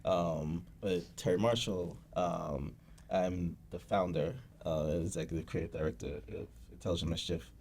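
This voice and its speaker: A man from Boston, a guest on a conversation podcast, telling his story in a relaxed way with many fillers and frequent laughs. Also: low voice, normal speed, slight background noise. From USA, Boston. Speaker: guest, founder of a creative studio.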